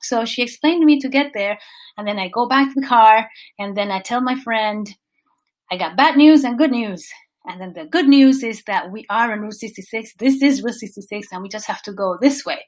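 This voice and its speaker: Lively Venezuelan-born woman telling a road story. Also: on the high side, normal speed. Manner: animated, restarts phrases, briefly sings place names. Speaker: vlogger and coach. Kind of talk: casual storytelling livestream.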